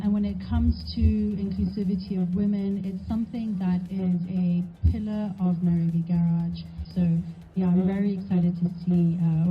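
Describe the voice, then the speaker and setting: A woman executive introducing herself on a panel, even and a little hesitant. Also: mid-range voice, breathy edge. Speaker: company CEO. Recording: dull lo-fi event audio.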